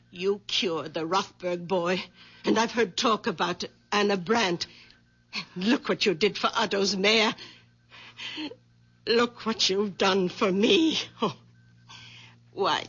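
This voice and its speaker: A flustered aunt in a 1970s radio mystery. She speaks slowly in broken, breathless phrases. Her voice is husky. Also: mid-range voice, a bit bright, exaggerated voice. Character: older woman, the aunt, nervous then amazed. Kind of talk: 1970s radio drama acting.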